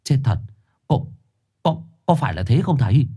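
A man's voice: medium pitch, big pitch swings, moderate pace, mellow, husky, pitched above the performer's own voice. A man voicing a frightened teenage boy who stammers and trembles. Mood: scared.

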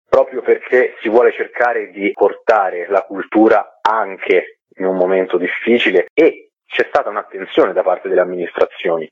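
A man's voice: lowish voice, slightly rough, no room sound. A man giving conversational, explanatory interview answers as a guest actor, repeating words for emphasis, over a telephone line. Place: phone call into a radio programme. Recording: tinny, narrow-band telephone line.

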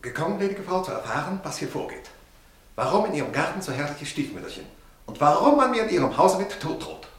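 An actor in a radio play playing a stubborn, indignant army major who barks in gruff, clipped, article-free fragments. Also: male voice, fairly high pitch, very animated, fast. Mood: puzzled, insistent. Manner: clipped telegram-like sentences without articles, gruff cursing. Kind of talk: German radio play acting. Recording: noisy, roomy radio play recording.

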